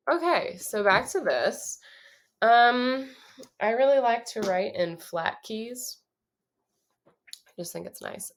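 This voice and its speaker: A young woman with a low voice, talking through her songwriting process in a relaxed, casual way with many pauses. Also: creaky. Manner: relaxed, thinking aloud with many ums.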